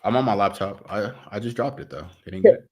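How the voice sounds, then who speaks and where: Low-voiced man chatting sports bluntly. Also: quick pace, a little mellow. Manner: slangy, blunt. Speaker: co-host or guest on a sports fan stream. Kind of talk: casual opinionated sports chat.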